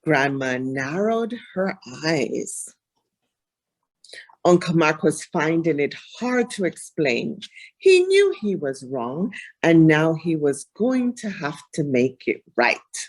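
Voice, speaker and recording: A woman tells a children's story in a husky, slightly low voice. Her reading is unhurried and expressive, with very lively intonation. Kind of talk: expressive storybook read-aloud.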